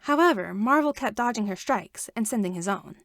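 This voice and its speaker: A woman reading a fan fiction chapter aloud with expressive storytelling and shifting emphasis. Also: medium pitch, fairly quick, creaky, no echo, studio quality. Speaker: podfic reader.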